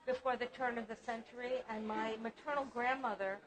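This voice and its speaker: A woman's voice: fairly high pitch, steady tone, brisk, poor audio. A woman artist in a museum gallery, earnestly and reflectively describing her family photographs. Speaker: exhibiting artist. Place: art museum gallery, indoors.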